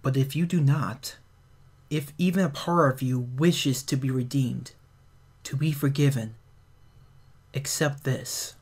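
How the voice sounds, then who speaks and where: A man voicing a slow, solemn cosmic being. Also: mid-range voice, a little mellow, breathy edge. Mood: grave. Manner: slow, solemn, cryptic pronouncements. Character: ancient all-seeing cosmic being, male. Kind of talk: fan audio drama voice acting.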